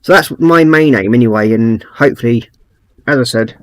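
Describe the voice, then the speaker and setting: A middle-aged man with a croaky throat, chatting easygoing and rambling as he hosts a livestream, reading out messages from chat. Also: medium pitch, normal speed, no room sound. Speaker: livestream host. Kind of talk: casual livestream chat while painting models.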